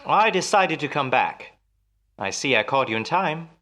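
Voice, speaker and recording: A man playing a smooth, solicitous husband in an old-time radio melodrama, soothing and patronizing with a quietly menacing edge. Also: on the high side, sing-song, moderate pace. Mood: smoothly insistent.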